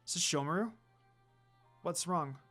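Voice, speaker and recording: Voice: mid-range voice, slow, fairly bright tone, faint music behind. A narrator voicing a caring, earnest young human priestess who asks questions openly. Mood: concerned.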